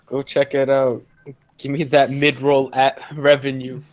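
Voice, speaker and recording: Joking man with a mid-pitched voice. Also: flat delivery, a little slow. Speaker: player in a streamed tabletop game. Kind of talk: casual banter.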